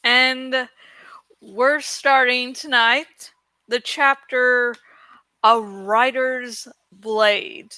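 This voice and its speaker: A woman's voice: fairly high pitch, fairly even intonation, extremely slow, sharp tone, smooth, no echo, low-quality recording. A woman hosting a book reading channel, talking in a casual, rambling chat style.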